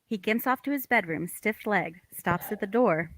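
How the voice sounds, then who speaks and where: A woman's voice: mid-range voice, lively intonation, moderate pace, a bit bright, no room sound. A woman reading stage directions as scripted narration in a play table read.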